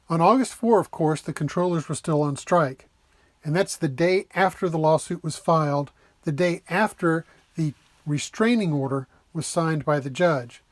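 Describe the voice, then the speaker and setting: A man giving an explanatory narrated lecture in a deliberate, plain-spoken way, repeating points for clarity. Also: a little high, no room sound. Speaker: lecturer explaining a legal case.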